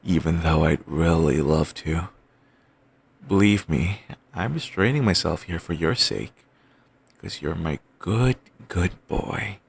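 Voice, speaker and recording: A young man voicing an obsessive, doting boyfriend in an ASMR audio roleplay, murmuring soft, soothing, controlling reassurances. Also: slow, vocal fry, husky, full character voice. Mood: tender. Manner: coaxing, endlessly affectionate, quietly controlling. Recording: home mic, low-quality.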